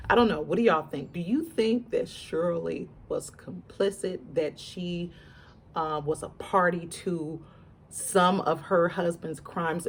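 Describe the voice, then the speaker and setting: Lower-voiced woman gossiping over background noise. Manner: conversational, emphatic repetition, addresses viewers directly. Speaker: online commentator. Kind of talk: casual celebrity gossip commentary.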